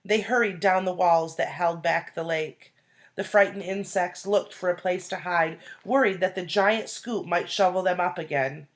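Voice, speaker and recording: A woman reading a children's storybook aloud like a teacher, deliberately, pausing to explain and put questions to young listeners. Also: on the low side. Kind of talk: expressive storybook read-aloud with asides and questions.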